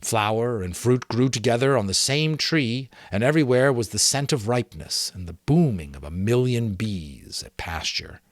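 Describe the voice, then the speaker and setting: A middle-aged man giving a dramatic, expressive audiobook-style reading of a novel in a measured storytelling manner. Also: mid-range voice, no echo, studio quality. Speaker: amateur audiobook reader.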